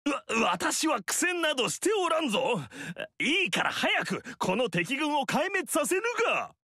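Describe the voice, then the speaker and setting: An actor playing a pompous, vain noble warlord in a video game, speaking haughtily. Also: male voice, very high voice, sing-song, unhurried, sharp tone, no echo, heavily acted. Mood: haughty. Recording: dry studio recording.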